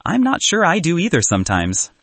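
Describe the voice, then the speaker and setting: A man's voice: fairly high pitch, wildly swooping pitch, fairly quick, fairly bright tone, no room sound, voice pushed up, full character voice. A man voicing a young male startup founder in an audiobook, earnest, self-doubting, reflective and wry. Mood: resigned, wry.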